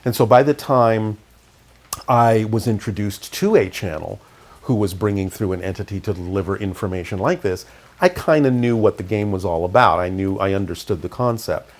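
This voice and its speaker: Calm, low-voiced man telling his story. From Canada, raised in California. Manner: calm, measured, low-key delivery. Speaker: interview guest, channeler. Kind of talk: reflective interview storytelling.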